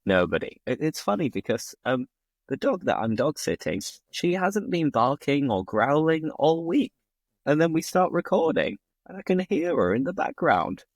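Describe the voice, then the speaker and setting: A man's voice: on the high side, sing-song, moderate pace, dry recording, studio quality. A man hosting a casual interview, asking questions with playful, teasing banter. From England, Bingley near Bradford. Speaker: host, English teacher.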